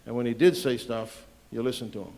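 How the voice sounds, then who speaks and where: A man's voice: medium pitch, fairly even intonation, a little fast, darkish tone. Heartfelt man giving a tribute. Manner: heartfelt, hesitant with fillers. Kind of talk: spoken tribute.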